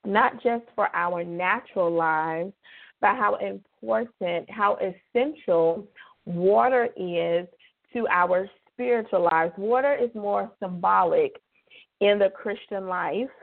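Slightly hoarse woman on a phone line. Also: mid-range voice. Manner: chatty, drawn-out emphasis on key words. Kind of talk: casual devotional radio talk. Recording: phone-line call-in radio.

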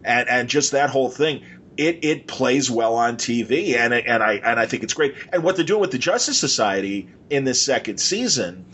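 A man's voice: medium pitch, animated, moderate pace, a bit bright, husky. A man hosting a podcast, chatting casually and enthusiastically in an informal, rambling way. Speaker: podcast host.

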